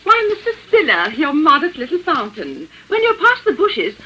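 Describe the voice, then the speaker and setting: An actress playing a polite, tactful, flattering lady guest in an old radio drama, genteel and gushing. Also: high-pitched, normal speed, lo-fi sound, heavily acted. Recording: faintly noisy old radio recording.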